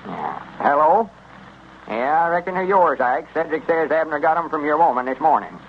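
An actor playing an exasperated, folksy country storekeeper with a rural Southern accent in old-time radio comedy. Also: male voice, on the high side, animated, moderate pace. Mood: defensive. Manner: folksy, grumbling. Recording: muffled, noisy 1930s-40s radio recording.

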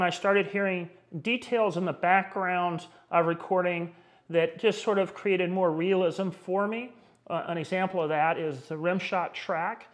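Male reviewer, middle-aged, slightly high voice, conversational and halting as he talks through audio gear.

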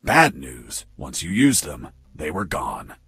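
Low, husky deadpan soldier. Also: male voice, slow pace, no room sound, heavily acted. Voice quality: husky, gravelly. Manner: deadpan, dry. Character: gruff male soldier recounting his prison escape, deadpan wisecracker. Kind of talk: video game voice acting, narration.

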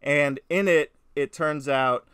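A man co-hosting a podcast, chatting casually in animated, slangy talk. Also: on the high side, slow, clean tone, no room sound, clean studio sound. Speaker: podcast co-host. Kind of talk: casual movie discussion podcast.

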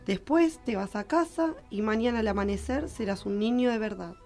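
A woman's voice: fairly high pitch, moderate pace, dark tone, soft background music, close to an everyday voice. A woman voicing a hungover, sardonic good fairy with an Argentine accent in a comic radio play. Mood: dry, weary.

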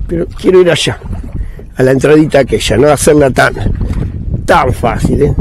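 A man's voice: medium pitch, moderate pace. A man chatting casually to viewers while paddling a kayak on a river, pointing things out as he goes. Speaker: vlogger kayaking. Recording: outdoor camera audio, clear background noise. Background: water and paddling sounds.

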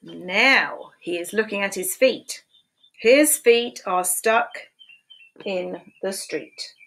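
A woman in her fifties reading picture books aloud to preschoolers in a slow, sing-song storytime voice, recorded on a webcam in a small room at home. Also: medium pitch, a bit bright, a little hiss or hum, low-quality recording. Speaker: children's book reader. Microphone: webcam at desk.

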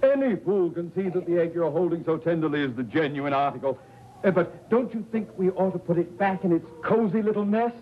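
An actor playing a blustering, pompous middle-aged school principal in a 1950s sitcom, with a commanding theatrical manner. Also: male voice, high-pitched, animated, brisk. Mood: gloating, bossy. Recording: muffled, hissy 1950s television soundtrack.

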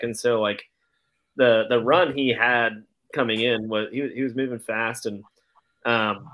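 A man's voice: lowish voice, fairly bright tone. A man giving casual sports analysis as a panelist on a video call. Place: indoor room.

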